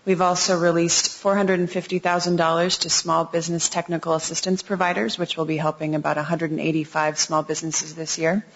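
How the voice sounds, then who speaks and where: A woman's voice: sits low, level tone, a little fast, hardly any pauses, dull audio. A woman giving a polished formal report to a commission, with an occasional "um". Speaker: city agency staff presenter. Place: public meeting room.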